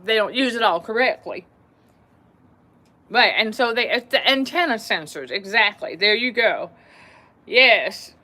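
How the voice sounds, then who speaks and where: A woman's voice: mid-range voice, sharp tone. A woman hosting a livestream, talking animatedly to her viewers, repeating phrases and laughing often. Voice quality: voice that carries. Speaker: livestream host. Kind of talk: casual livestream talk to viewers.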